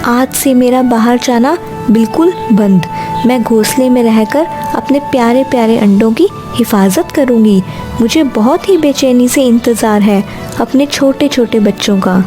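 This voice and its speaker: A woman voicing a gentle, anxious mother sparrow in a cartoon story, tender and emotional. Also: on the high side, unhurried, natural voice. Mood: tender, expectant. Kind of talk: Hindi cartoon story dialogue. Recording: faint music behind the voice.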